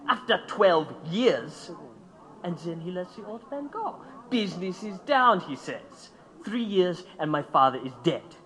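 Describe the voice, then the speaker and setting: Young anarchist bomb-maker, reckless, bitter, taunting, highish voice, very animated radio drama delivery. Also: male voice, unhurried, exaggerated voice. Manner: taunting, intense, speaking in simple broken English. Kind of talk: audio drama acting.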